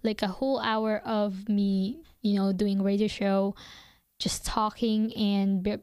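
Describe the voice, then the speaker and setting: A young woman with a non-native English accent, hosting a radio show and talking through her thoughts in a relaxed way, hesitating often with fillers and restarts. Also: mid-range voice, takes it slowly, clean tone, no echo, studio quality. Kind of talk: reflective casual monologue.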